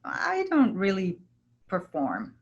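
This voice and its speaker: A woman playing a kind, principled healer in a travelling troupe, gentle and reassuring, in a staged play reading. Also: mid-range voice, wildly swooping pitch, slow, muffled recording, a little hiss or hum, full character voice. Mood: modest, kind.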